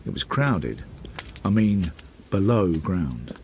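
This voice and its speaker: A Victorian detective narrating a radio drama. The voice is low and slow yet nicely varied in pitch. He speaks in short, understated, reflective phrases. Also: very animated, a little mellow, slight background noise, full character voice. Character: reflective Victorian detective recalling an old case.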